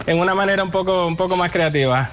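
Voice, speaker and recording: A young man from Puerto Rico, a painter guest on a TV set, explaining his work modestly with many pauses. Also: high-pitched, a bit bright, a little hiss or hum. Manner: modest, hesitant, many fillers. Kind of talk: TV studio interview. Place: TV studio set.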